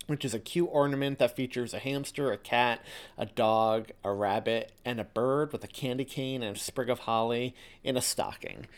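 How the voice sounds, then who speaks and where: Guy hosting a podcast, normal pitched voice, talking earnestly to his listeners, thankful. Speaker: podcast host and author. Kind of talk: scripted podcast address to listeners.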